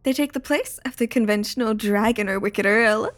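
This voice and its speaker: A woman's voice: on the high side, moderate pace, close to an everyday voice. A woman playing a curious, romantic Victorian lady in an audio drama, talking in a dramatic, relishing way. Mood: thrilled.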